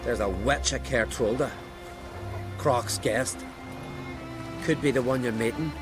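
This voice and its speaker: An actor playing a surly, coarse island brawler in a video game, gruff and scornful. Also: male voice, medium pitch, measured pace, over music, natural voice. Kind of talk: video game cutscene acting.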